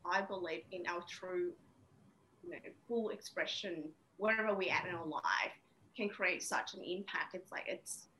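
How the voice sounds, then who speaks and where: A woman from Vietnam, Vietnamese her first language, speaking reflectively as an interview guest with many pauses and fillers. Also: fairly low pitch, pushed and bright, slight background noise, low-quality recording. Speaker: interview guest, women's coach. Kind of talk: casual interview.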